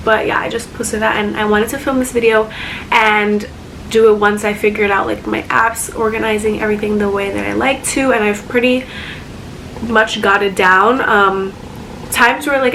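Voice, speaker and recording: A woman's voice: mid-range voice, fairly even intonation, normal speed, a bit bright, a little hiss or hum, poor audio. A young woman vlogging casually about her phone apps, chatty and full of fillers, her voice slightly congested. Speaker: YouTuber. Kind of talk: casual phone tour vlog.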